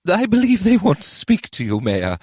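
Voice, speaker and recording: A man voicing a nervous male goblin advisor in an audiobook, squeaky, servile and prone to stammering. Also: wildly swooping pitch, moderate pace, a little mellow, breathy edge, no room sound, studio quality, heavily acted. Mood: timid. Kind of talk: audiobook character voice.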